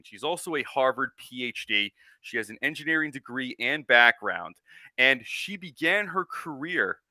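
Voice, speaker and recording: A man's voice: mid-range voice, bright, forceful tone, dry recording. A man hosting an interview podcast, talking in an upbeat way with lots of fillers. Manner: upbeat, uses many fillers. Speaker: podcast host. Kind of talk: conversational interview.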